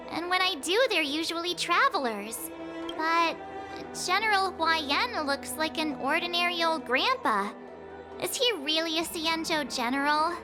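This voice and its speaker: Nosy, bubbly teen girl: very high voice, game score under. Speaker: game character. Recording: game audio with music behind.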